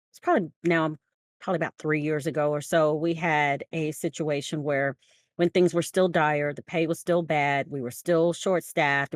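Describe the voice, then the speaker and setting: Low-voiced American woman, emphatic podcast talker. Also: no echo, clean studio sound. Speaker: podcast co-host. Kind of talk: conversational discussion.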